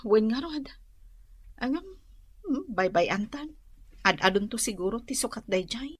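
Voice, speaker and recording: A woman's voice: fairly high pitch, lively intonation, unhurried, slightly rough. An actress playing a mild, patient woman lender in a radio drama, speaking politely and a little hesitantly.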